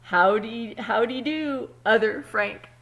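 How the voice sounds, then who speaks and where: A woman's voice: on the high side, slow, fairly bright tone. A woman voicing a cheerful egg from a children's storybook, calling out short greetings to friends in a sing-song way.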